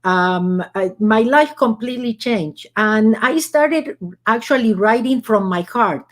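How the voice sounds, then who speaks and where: A woman's voice: on the high side, lively intonation, unhurried. A woman from Argentina with an Argentine Spanish accent, a guest author chatting in an interview and telling personal stories with fillers and laughs. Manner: warm storytelling with frequent laughs and fillers. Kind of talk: casual interview chat.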